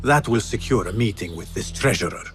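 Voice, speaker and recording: An actor playing a determined, watchful assassin in a video game, speaking in a controlled, earnest way. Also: male voice, on the low side, expressive, brisk, over music, lightly acted. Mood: focused. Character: determined, watchful male assassin on a secret mission. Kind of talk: dramatic video game cutscene acting.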